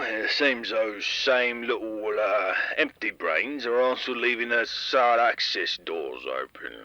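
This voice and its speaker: A man's voice: on the low side, sharp tone, no echo, muffled recording, exaggerated voice. A man playing a stern, fed-up town security chief lecturing listeners in a public announcement in an audio drama.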